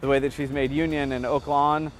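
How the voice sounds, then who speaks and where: A man's voice: mid-range voice, little pitch movement, fairly quick, faint music behind. A man in his thirties speaking earnestly and conversationally outdoors on a city street in an interview. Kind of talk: interview testimony.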